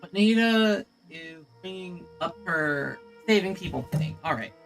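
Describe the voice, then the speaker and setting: A woman's voice: lowish voice, lively intonation, unhurried. A woman gaming streamer giving casual, reactive commentary with exclamations. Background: faint game music.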